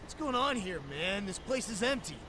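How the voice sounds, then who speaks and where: A man's voice: high voice, big pitch swings, moderate pace, background noise. A man playing a cocky, pushy street gang thug in a video game, brash, slangy and confrontational. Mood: brash, challenging. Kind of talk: English game dub acting.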